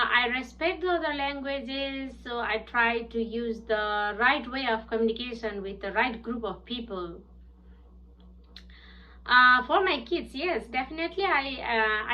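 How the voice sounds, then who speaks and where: A woman in her thirties from southern Bhutan with a Nepali accent, speaking English as a second language in an earnest, halting personal presentation, often pausing to search for words. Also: a little high. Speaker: student presenter. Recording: muffled lo-fi recording.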